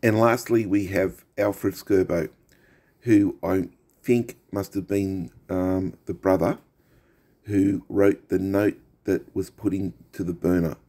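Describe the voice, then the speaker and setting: Casting: middle-aged Australian man, nasal from a head cold, lowish voice, casual unhurried narration. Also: fairly even intonation, mellow. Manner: slow, thoughtful, with frequent fillers and restarts. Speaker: steam engine collector. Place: home workshop room.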